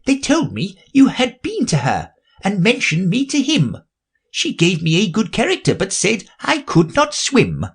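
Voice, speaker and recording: A man voicing a nervous, fussy rabbit acting as court herald, speaking in a prim, respectful, hurried way. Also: sits high, wildly swooping pitch, slightly rough, pitched above the performer's own voice, exaggerated voice. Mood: reciting.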